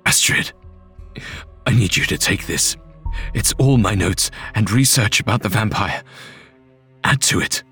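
A man voicing an earnest, shaken male doctor in a horror story, with a halting manner. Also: fairly low pitch, animated, sharp tone, slightly rough, faint music behind, full character voice. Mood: urgent. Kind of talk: acted audio horror story.

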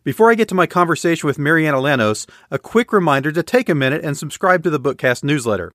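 A man's voice: fairly high pitch, expressive, quick pace, fairly bright tone, dry recording, studio quality. A man hosting a book podcast, who reads an upbeat introduction and then asks questions with enthusiasm. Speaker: podcast host. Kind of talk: scripted intro then casual interview.

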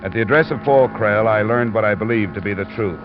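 An actor with an American accent playing a hard-boiled, wry private investigator in clipped, deadpan old radio style. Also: male voice, fairly low pitch, fast talker, over music, natural voice. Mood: dry narration. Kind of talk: 1940s-50s radio detective acting and narration. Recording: muffled, noisy old radio recording.

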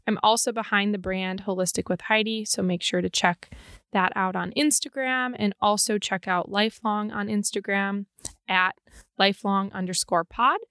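A woman's voice: mid-range voice, fairly even intonation, normal speed, fairly bright tone, dry recording, clean studio sound. A woman hosting a podcast, introducing her guest with upbeat enthusiasm. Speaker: podcast host.

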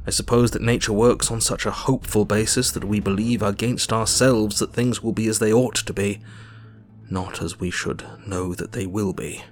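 A man playing a grave Victorian doctor narrating his diary in a measured, literary way for an audio drama. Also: fairly low pitch, lightly acted.

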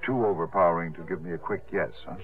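An actor playing a suave, devoted theatre gentleman in a 1950s radio drama, heard on a tinny broadcast recording. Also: low voice, quick pace, close to an everyday voice. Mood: tender.

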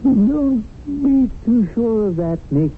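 A man's voice: very high-pitched, lively intonation, takes it slowly, a little hiss or hum, full character voice. A man playing an earnest, anxious agent of good who narrates his own tale in a radio drama. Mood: defiant. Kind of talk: radio drama acting and first-person narration. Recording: phone-like old radio recording, music underneath.

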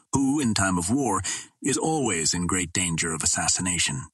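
Husky low-voiced man narrating, very dry sound. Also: fairly bright tone, no room sound. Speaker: audiobook narrator. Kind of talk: scripted audiobook narration of pulp fantasy.

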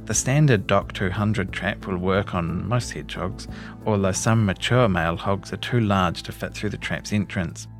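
A man with a New Zealand accent reads a scripted long-form news story in a measured, articulate storytelling delivery. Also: deep voice, soft background music. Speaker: reporter reading his own long-form article. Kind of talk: scripted narration of a feature story.

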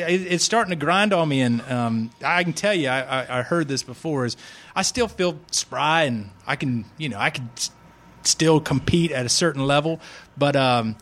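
A man's voice: fairly high pitch, lively intonation, normal speed, a bit bright. A man of forty hosting a sports podcast, joking and chatty, often restarting his sentences. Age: 40s. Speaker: podcast host. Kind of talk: casual sports podcast chat.

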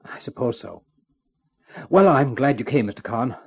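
A man's voice: medium pitch, expressive, normal speed, gravelly, darkish tone, breathy edge. An actor playing an earnest, mournful man in a 1950s radio drama. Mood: solemn. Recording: old 1950s radio recording.

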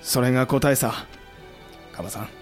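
A man playing a bold samurai commander with rough, terse speech in a historical audio drama. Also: medium pitch, normal speed, lightly acted. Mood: quietly reflective. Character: bold, blunt samurai commander Hijikata, unafraid of death. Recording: dramatic music behind.

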